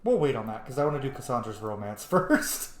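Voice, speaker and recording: A man's voice: mid-range voice, big pitch swings, brisk. A man giving casual, rambling, profane commentary as he streams a video game. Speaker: gameplay streamer. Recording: roomy echo, some background noise.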